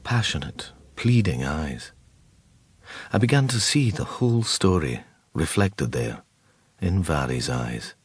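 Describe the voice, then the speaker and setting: An actor playing a reserved psychic detective in a radio drama, with a Scottish accent and a polite, tentative, probing manner. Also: male voice, rumbling low, expressive, slow, slight background noise, full character voice. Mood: reflective. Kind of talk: naturalistic radio drama acting with narration.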